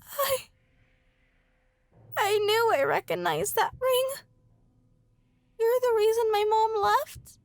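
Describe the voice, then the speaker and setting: A woman acting a frightened, grieving but defiant young girl in a horror audio drama, tearful, pleading and halting. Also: extremely high pitch, creaky, no echo, exaggerated voice. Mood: shocked, tearful.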